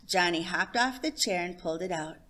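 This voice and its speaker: Female storyteller for a picture book, slightly low pitch, scripted narration. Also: animated, a little fast, fairly bright tone.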